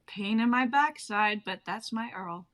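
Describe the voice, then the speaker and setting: A woman's voice: medium pitch, a little slow, fairly bright tone, close to an everyday voice. Woman playing a bubbly, teasing 1950s young wife. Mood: amused. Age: young adult. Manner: breezy, playful, old-fashioned turns of phrase. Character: bubbly, teasing 23-year-old 1950s wife, warm and assured. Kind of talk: dramatic reading of a script.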